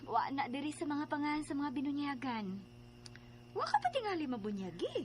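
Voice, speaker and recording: A woman's voice: high-pitched, big pitch swings, brisk, slight background noise. A woman playing a matter-of-fact church records clerk with a Cebuano accent in a radio drama.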